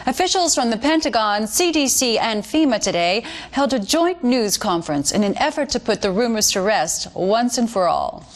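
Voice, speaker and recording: An actress plays a female American news anchor from a video game. Her voice sits fairly high, and she delivers scripted bulletins with measured, formal authority. She comes across as an earnest, polished mouthpiece for the government. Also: lively intonation, lightly acted. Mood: grave, formal. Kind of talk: scripted news broadcast narration.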